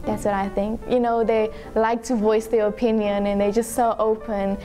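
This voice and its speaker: A mellow, soft-toned teenage girl, talking loosely and warmly in an interview. She leans on "like" and "you know" as she goes, recorded close up in a classroom with faint music under her. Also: mid-range voice, flat delivery, normal speed. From South Africa. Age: teens. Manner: chatty, lots of "like" and "you know". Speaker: exchange student interviewee. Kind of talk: casual interview. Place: school classroom, indoors.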